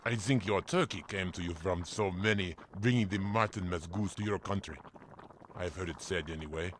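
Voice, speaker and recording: A deep-voiced, kindly village priest from Germany, played by a male actor. He speaks in a gentle, measured, formal way, patiently explaining things as in a family audio drama. There is faint noise behind him. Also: lightly acted. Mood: warmly explaining.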